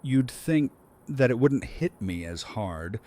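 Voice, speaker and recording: A man playing a grieving, gentle father in an audio drama, speaking in a subdued, sad way. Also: medium pitch, animated, slow. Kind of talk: fan audio drama acting.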